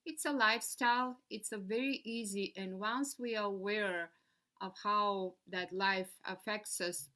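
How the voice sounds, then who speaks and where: A middle-aged woman from Bulgaria, an animated podcast guest who talks with her hands. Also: mid-range voice, a little slow. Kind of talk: casual interview chat.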